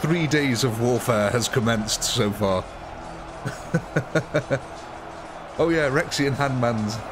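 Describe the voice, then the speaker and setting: A man's voice: mid-range voice, takes it slowly, music in the background. A man hosting a live game stream in casual commentary, cheerful and chatty, laughing often and sniffly with a cold. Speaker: livestream gaming host.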